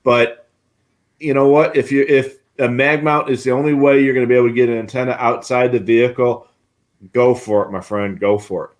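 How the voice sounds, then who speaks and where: A man's voice: mid-range voice, steady tone, fairly quick. Middle-aged American man, folksy explainer. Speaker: co-host of a radio scanner show. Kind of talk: casual explanatory talk on a livestream. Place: indoor home radio room on a video call.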